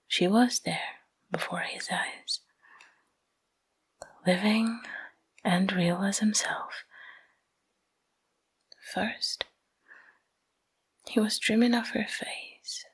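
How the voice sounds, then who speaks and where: A woman whispering a bedtime story in ASMR style, hushed and breathy, in short phrases with pauses, close to the microphone. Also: medium pitch, fairly even intonation, rough recording.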